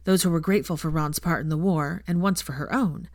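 Lower-voiced woman narrating a story. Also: brisk, darkish tone, no echo, studio quality. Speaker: fan fiction narrator. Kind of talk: scripted audiobook narration.

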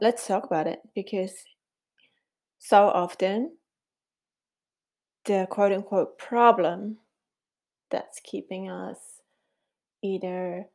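A woman's voice: medium pitch, a little mellow. A middle-aged woman from Switzerland with a Swiss German accent, hosting a casual solo podcast in a chatty, upbeat way with frequent fillers. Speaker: podcast host.

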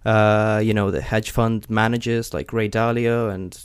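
A man's voice: on the low side, little pitch movement, unhurried, clean tone, no room sound, clean studio sound. A young man from Ukraine hosting a podcast interview, curious and chatty, with many fillers. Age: young adult. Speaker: podcast host. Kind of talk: conversational interview.

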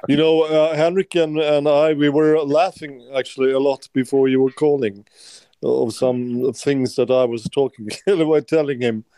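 A man co-hosting a podcast in halting English, whose first language is Swedish, stumbling over words. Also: medium pitch, fairly even intonation, dark tone, no echo. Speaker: podcast co-host.

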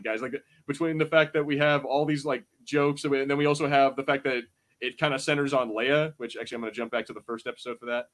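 A man in his twenties co-hosting a podcast, chatting casually in a rambling, animated, sweary way. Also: fairly high pitch. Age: 20s. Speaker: podcast host. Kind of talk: casual panel chat reviewing a show.

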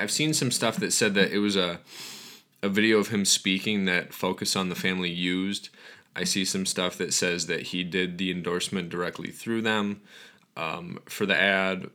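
A man's voice: low voice, pushed and bright. A young man hosting a casual solo podcast, groggy after just waking up, rambling with frequent ums and restarts. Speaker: podcast host.

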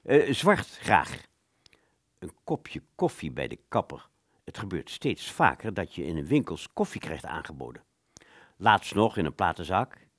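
A man's voice: low voice, very animated, measured pace, fairly bright tone, no echo, studio quality, exaggerated voice. A man playing a polite, talkative, slightly flustered hair salon customer in a radio play, rambling with hesitations. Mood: chatty, flustered. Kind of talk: Dutch amateur radio play dialogue.

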